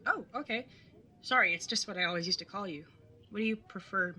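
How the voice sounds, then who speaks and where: A woman's voice: mid-range voice, a little fast, pushed and bright, slight background noise. A woman playing a wry, jaded young artist bartender in an audio drama, sardonic and casually sweary as narrator and older sibling. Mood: awkward, friendly. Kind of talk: first-person audio drama narration and dialogue.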